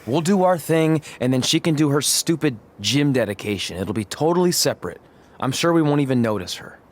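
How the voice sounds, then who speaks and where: Game cutscene role: earnest, goofy male best pal, supportive, wry, very lively intonation, played by an actor. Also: mid-range voice, a little fast, natural voice. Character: earnest, goofy, supportive young wrestler sidekick. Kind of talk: video game cutscene acting.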